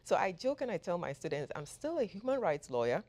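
A woman from Ghana, a professor, giving measured, thoughtful answers as an interview guest. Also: on the low side, big pitch swings, darkish tone. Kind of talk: interview conversation.

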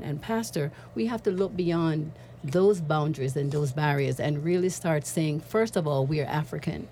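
Lady on a panel, really low warm voice, keeps repeating herself to get the point out. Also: a little hiss or hum. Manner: earnest, repeats words when making a point. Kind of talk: panel discussion.

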